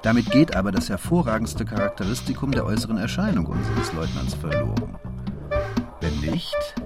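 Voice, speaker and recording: An actor playing a shrewd, confident gentleman detective in a German radio play, with a brisk, superior, lecturing manner. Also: on the low side, very animated, loud music behind the voice. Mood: lecturing, self-assured. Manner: self-assured, superior, didactic.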